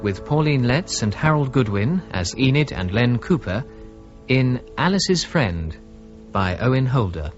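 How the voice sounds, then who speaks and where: A British man announces radio play credits with lively intonation. Loud music plays behind him and the recording is somewhat dull. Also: medium pitch, unhurried. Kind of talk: scripted radio cast announcement. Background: prominent music.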